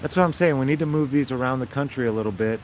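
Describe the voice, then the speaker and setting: A man with a raspy voice, casually chatting to his viewers in a rambling, upbeat way. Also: medium pitch, racing, darkish tone, slight background noise, rough recording. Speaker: vlogger.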